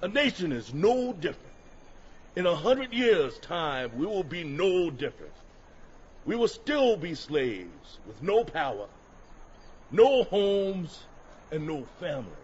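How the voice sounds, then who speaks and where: An actor playing a fervent escaped slave who addresses a crowd in deliberate, emphatic oratory in an audio drama. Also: male voice, fairly high pitch, sing-song, a little mellow, slightly rough, exaggerated voice. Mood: fervent, solemn. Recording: muffled, slightly noisy audio drama recording.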